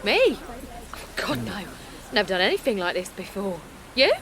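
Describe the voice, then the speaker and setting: A woman's voice: mid-range voice, big pitch swings, moderate pace. An actress playing an anxious young working-class mother, narrating and speaking colloquially in an audio drama. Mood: nervous, friendly. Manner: emotive, colloquial. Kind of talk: audio drama acting and first-person narration. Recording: outdoor crowd noise behind.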